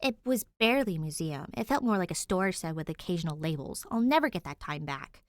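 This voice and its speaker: A woman's voice: on the low side, brisk, no echo, studio quality, close to an everyday voice. A woman voicing a sassy, blunt girl who loves maps, in acted dialogue for a fan fiction reading. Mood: deadpan. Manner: deadpan, animated when explaining.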